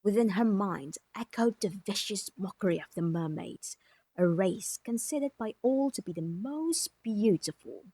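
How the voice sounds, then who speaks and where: A woman's voice: medium pitch, expressive, normal speed, dark tone. A woman reading dramatic scripted narration for a visual novel as its storyteller. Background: music clearly behind the voice.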